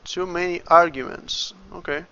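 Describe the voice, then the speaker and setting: A man's voice: high-pitched, fairly even intonation, normal speed, bright, forceful tone, dull audio, slight background noise. A Brazilian man with a Brazilian Portuguese accent, a game developer casually talking through his work on a livestream and muttering each step to himself. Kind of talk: casual livestream, thinking aloud while working.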